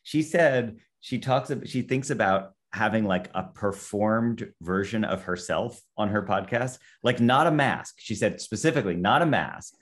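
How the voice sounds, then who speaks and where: Male interviewer, fairly low voice, relaxed conversational moderating style. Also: moderate pace, few pauses.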